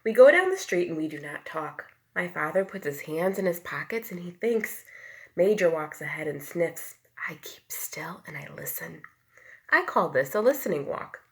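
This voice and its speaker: A woman with a fairly low, slightly gravelly voice reads a picture book to preschoolers. Her pitch moves around in a lively way as she plays with sound words. She speaks simply, like a teacher addressing small children. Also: moderate pace. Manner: acts out sound words, dropping to whispers and rising to loud calls; asks the young listeners questions and explains simply. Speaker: pre-K teacher reading aloud. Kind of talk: expressive picture book read-aloud to young children.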